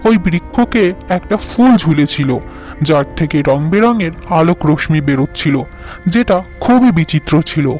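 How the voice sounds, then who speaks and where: A man's voice: a little high, animated, measured pace, a little mellow, faint music behind. A man voicing a scheming, persuasive younger-brother uncle in a fairy-tale cartoon. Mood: coaxing.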